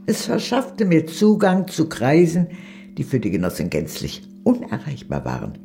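An older actress playing a proud, worldly aristocratic communist ex-spy in a radio play, with a haughty, wry, theatrical manner. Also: female voice, sits low, huge pitch swings, moderate pace, creaky, dark tone, faint music behind, full character voice. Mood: wry, relishing.

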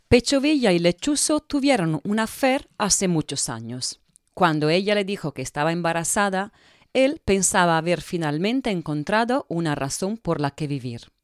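A woman's voice: fairly low pitch, no echo, clean studio sound. A woman from narrating a scripted audio drama in a wry, knowing way.

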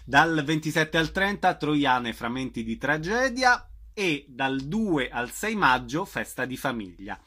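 A man's voice: a little high, sing-song, measured pace, few pauses, pushed and bright. A man hosting a radio programme, interviewing guests and announcing events.

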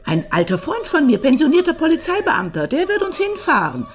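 Commanding older matriarch, very animated. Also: female voice, high-pitched. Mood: commanding, protective. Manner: brisk, authoritative, emphatic. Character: commanding, sharp-witted older matriarch, protective and decisive. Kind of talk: German radio crime drama acting.

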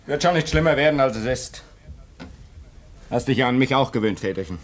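A man's voice: mid-range voice, animated, brisk, close to an everyday voice. A man in his twenties acting in a 1950s radio drama as a restless young station worker, impatient and sarcastic, his voice climbing when he gets worked up. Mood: tense. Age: 20s.

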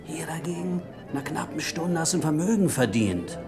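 An actor playing a sly, pushy small-time gangster in an old German radio crime play, talking in slangy, cajoling jabs. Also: male voice, a little high, lively intonation, brisk, over music, close to an everyday voice. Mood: needling, persuasive. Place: radio drama studio.

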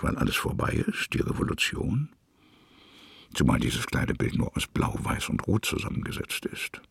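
A man narrates a literary radio drama in a very deep, heavily creaky voice. His delivery is musing and halting, husky and breathy, with lively rises and falls, at times sinking almost to a whisper. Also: no room sound, clean studio sound.

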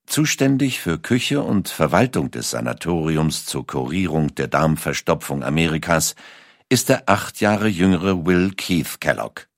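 A man reading scripted documentary narration in a measured, carefully articulated storytelling manner. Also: rumbling low, big pitch swings, no room sound, clean studio sound.

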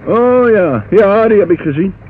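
Offhand barge skipper, tinny sound. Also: male voice, sits high, lively intonation, a little slow. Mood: casual. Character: shifty male barge skipper, casually feigning innocence. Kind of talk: radio drama acting. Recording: thin, noisy old radio recording.

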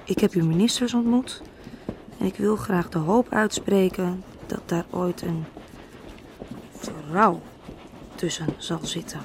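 A determined, indignant woman in a Dutch radio play. She speaks very slowly and earnestly, slightly low in pitch, with halting phrases. There is clear noise behind her voice. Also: darkish tone. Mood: restrained anger. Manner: measured, halting, earnest delivery. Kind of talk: Dutch radio drama acting.

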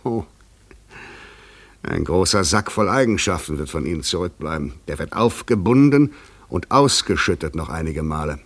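A man's voice: low voice, lively intonation, normal speed, breathy edge, heavily acted. A man acting an eloquent, world-weary fugitive in a literary radio play, speaking poetically and rhetorically. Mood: brooding. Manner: poetic, rhetorical, theatrical delivery.

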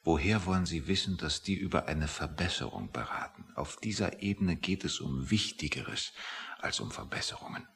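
A man's voice: rumbling low, animated, moderate pace, creaky, a bit bright, slight background noise, heavily acted. A man acting a skeptical, status-minded official in a radio play, speaking in a dry, condescending, musing way. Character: skeptical, status-minded male official waiting outside a meeting.

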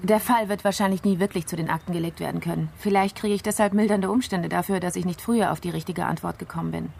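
An actress playing a methodical female investigator in a radio crime play, explaining a case in a measured, explanatory way. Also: fairly low pitch, steady tone, creaky, a little mellow, a little hiss or hum.

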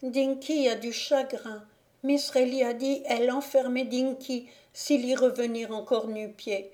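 In this storybook reading, a feverish little boy calls out in delirium, as a woman narrator puts him on. His voice sits well above her plain reading voice, raised and breathless, pleading with unseen figures. The delivery is mellow in tone despite the strain. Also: a little high, fairly even intonation, a little slow, voice pushed up, natural voice. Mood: delirious.

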